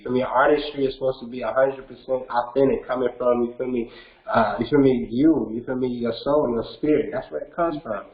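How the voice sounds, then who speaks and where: A man in his twenties, a rapper talking in a casual interview, earnest and full of restarts, who keeps saying "you feel me". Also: mid-range voice. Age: 20s. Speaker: rapper guest. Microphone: video call. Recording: echoey dull video call audio.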